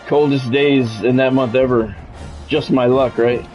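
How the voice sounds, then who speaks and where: A man's voice: mid-range voice, steady tone, unhurried, a little mellow, lo-fi sound, faint music behind. A middle-aged American man with a blunt, grumbling manner, switching between casual profane chat and sports play-by-play on a livestream. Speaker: game streamer and sports play-by-play announcer.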